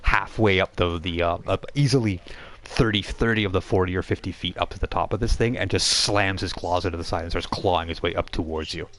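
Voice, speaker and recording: A man with a low voice narrates as game master. He builds scenes haltingly and descriptively, improvising as he goes. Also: fairly bright tone. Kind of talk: improvised tabletop role-playing narration.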